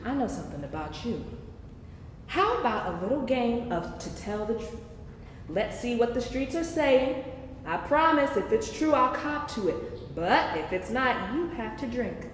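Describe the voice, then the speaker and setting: A woman's voice: fairly high pitch, very animated, unhurried. A woman playing a cheeky, worldly-wise man with a theatrical, teasing, preachy way in a staged play reading. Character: cheeky, worldly-wise queer man, a streetwise regular of basement bars. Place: live stage reading in a reverberant hall. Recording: echoey hall, noisy live stage pickup.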